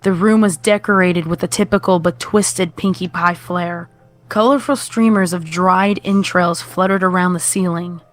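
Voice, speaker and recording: A woman's voice: lowish voice, fairly even intonation, normal speed, soft background music. A woman reading scripted horror fiction as the narrator.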